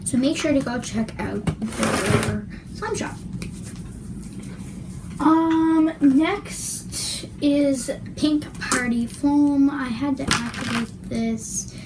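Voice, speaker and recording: A young woman chats slowly about slime in a relaxed, apologetic way. Her voice sounds worn, like she is losing it. Her pitch sits a little high, and loud steady noise runs under the recording. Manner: apologetic, chatty, drawn-out words. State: losing her voice, clearing her throat. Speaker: slime reviewer. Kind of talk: casual product review vlog.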